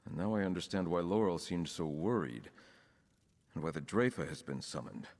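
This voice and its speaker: An actor playing an earnest, composed young nobleman in a video game, speaking in a formal, measured way. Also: low voice, expressive, a little mellow, long reverb tail. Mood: concerned, courteous. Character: young nobleman, count's son with druid leanings, earnest and composed. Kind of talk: scripted video game dialogue.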